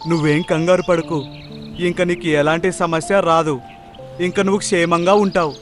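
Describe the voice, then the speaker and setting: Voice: mid-range voice, steady tone, normal speed, faint music behind, close to an everyday voice. A man voicing a kind, protective, fatherly crocodile in a cartoon, with a reassuring manner. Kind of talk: cartoon character voice acting.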